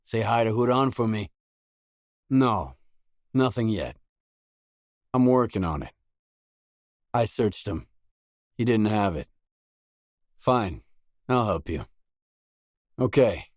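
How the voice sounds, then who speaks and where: A low male voice as a gruff, cool-headed mercenary in a video game. He speaks in blunt, guarded lines with stern economy. The sound is dry and close, with no room around it. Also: animated, takes it slowly, long pauses, no room sound. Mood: blunt, irritated. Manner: terse, stern, matter-of-fact. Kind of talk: scripted game dialogue lines.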